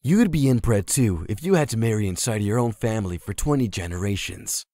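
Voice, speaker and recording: A man's voice: on the low side, expressive, fairly quick, warm tone, no echo, clean studio sound. A man playing a meek, earnest, simple janitor in a comic dub.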